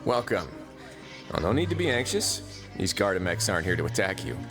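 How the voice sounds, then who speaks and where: Suave, lively-pitched duke. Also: male voice, fairly quick. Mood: smooth, wry. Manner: polished, courteous, wry. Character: suave prison duke, composed, courteous, wryly amused. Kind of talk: anime game voice acting. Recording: game music behind.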